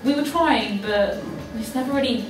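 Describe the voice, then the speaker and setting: A woman's voice: on the high side, moderate pace, slight background noise. Girl talking student style, echoey room, bit far from mic, faint music.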